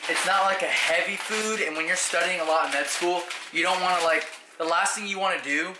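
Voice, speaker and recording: Young male med-student vlogger, chatty and joking, slightly high voice, echoey kitchen on camera mic. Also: fairly quick. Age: young adult. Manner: chatty, joking, heavy on "like" fillers. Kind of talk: casual cooking vlog chat. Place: small home kitchen, indoors. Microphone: handheld camera mic. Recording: echoey kitchen with background noise.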